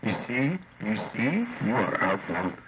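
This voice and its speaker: A man in a casual, teasing chat over shortwave ham radio, speaking a little haltingly like an operator among friends. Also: lowish voice, sing-song. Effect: shortwave radio transmission. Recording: muffled, noisy shortwave radio reception. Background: radio static.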